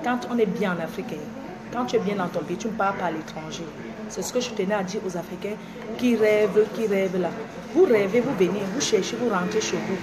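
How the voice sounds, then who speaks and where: A woman's voice: mid-range voice, a little fast, crowd noise. A woman from Cameroon with a Cameroonian French accent, lecturing her followers emphatically and repetitively in a live video from a train station. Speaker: social media personality. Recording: noisy station ambience behind her.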